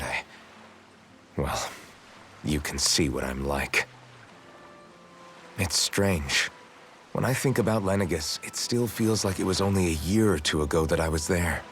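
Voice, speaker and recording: A man's voice: low-pitched, a bit bright, a little hiss or hum. A man voicing a self-doubting, brooding young hero in an English video game dub, speaking in a subdued, reflective way.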